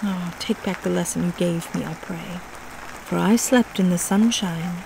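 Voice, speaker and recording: A woman reading poetry aloud in an expressive, theatrical recitation. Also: fairly low pitch, moderate pace, vocal fry, a crowd in the background, noisy background. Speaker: poetry reader.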